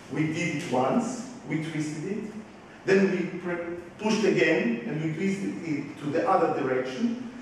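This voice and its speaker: A man whose first language is Hebrew, explaining glassmaking to an interviewer in halting English, often stopping to search for words. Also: a little high, very echoey, big-room sound, recorded from a distance, slight background noise, low-quality recording. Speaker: glass designer. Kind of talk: explaining his work to an interviewer.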